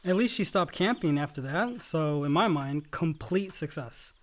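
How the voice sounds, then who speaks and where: An actor playing a dutiful, resentful grown son in a radio play, earnest and wounded. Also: male voice, a little high, lively intonation, moderate pace, close to an everyday voice. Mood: dry, subdued.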